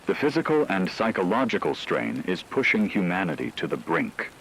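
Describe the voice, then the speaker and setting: A man reading a scripted emergency radio broadcast in a solemn, ominous, measured way. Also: lowish voice, very animated, gravelly, fairly bright tone, a little hiss or hum, low-quality recording. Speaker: radio announcer.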